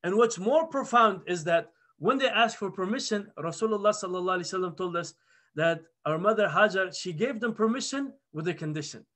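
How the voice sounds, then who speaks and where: A man in his thirties teaches a religious study circle from a plain indoor room, speaking into a webcam. His voice sits high for a man, and he tells the story earnestly, often restarting his phrases. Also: fairly quick. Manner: earnest, animated retelling with restarts. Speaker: halaqa teacher. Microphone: webcam video call.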